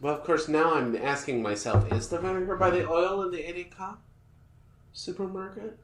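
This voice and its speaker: A woman's voice: on the low side, moderate pace, roomy sound. A woman in her thirties, a podcast co-host telling a self-mocking story in casual chat. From United States. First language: English. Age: 30s. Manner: animated, self-mocking storytelling with frequent laughter.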